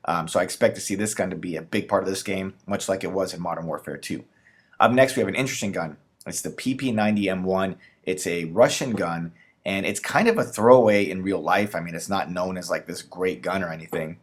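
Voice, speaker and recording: Man, low-pitched, hoarse edge from a fading voice, casual excited commentary, filler-heavy. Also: a little fast. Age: 30s. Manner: enthusiastic, rambling with fillers. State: losing his voice, slightly raspy. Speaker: gaming YouTuber. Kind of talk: casual gun breakdown commentary.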